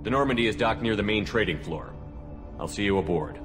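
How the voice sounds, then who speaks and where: Guy as no-nonsense soldier boss in a game, low voice, matter-of-fact, music behind. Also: expressive, brisk, natural voice. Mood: firm, calm. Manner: direct, clipped, matter-of-fact. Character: firm, businesslike male military commander. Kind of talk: video game character acting.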